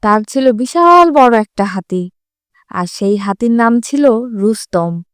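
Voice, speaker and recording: High-voiced woman storyteller, dry recording. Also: lively intonation, slow, a bit bright, smooth, no echo. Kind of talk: scripted children's fable narration.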